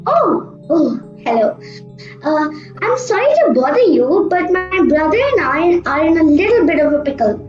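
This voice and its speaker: A woman playing a sensible, bossy young sister in a radio play, brisk and scolding. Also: extremely high pitch, sing-song, music in the background. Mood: polite, flustered. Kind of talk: amateur radio play acting. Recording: muffled, echoey room recording.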